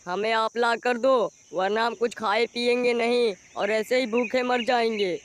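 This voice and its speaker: A man voicing a stubborn, sulky young crow chick who makes demands in an animated moral story. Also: very high-pitched, measured pace, a little hiss or hum. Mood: sulky demanding. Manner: sulky, insistent.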